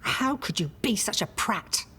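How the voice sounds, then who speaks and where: An actress playing an exasperated, no-nonsense British wife in a radio soap. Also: female voice, mid-range voice, animated, measured pace, bright, forceful tone, breathy edge, full character voice. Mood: angry, scolding.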